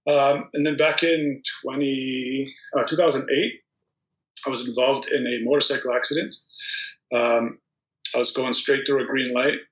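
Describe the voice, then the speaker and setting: A man's voice: mid-range voice, moderate pace. A man in his thirties, originally from Poland, talking casually as an interview guest with plenty of ums and restarts. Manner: relaxed storytelling with many ums and restarts. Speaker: guest, volleyball player. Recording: dull, echoey remote recording.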